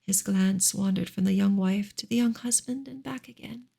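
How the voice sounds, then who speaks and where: A woman softly reading a novel in ASMR style, with a breathy voice that often slips toward a whisper and a gentle, measured delivery. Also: medium pitch. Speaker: audiobook-style reader, ASMR host.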